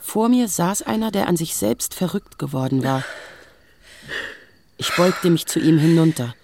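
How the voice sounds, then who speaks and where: A woman's voice: lowish voice, animated, measured pace, noisy background. An actress playing a weary, defiant woman in her early forties, narrating her story in a sober, restrained literary radio drama style. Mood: sober, grieving. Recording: music behind the voice. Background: music.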